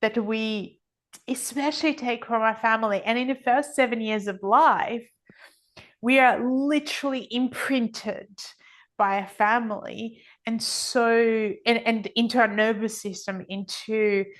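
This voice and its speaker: A woman's voice: on the high side, fairly even intonation, measured pace. A middle-aged woman giving reflective, conversational interview answers as a podcast guest, often acting out other people's thoughts as quotes. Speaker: podcast guest, energy healing author.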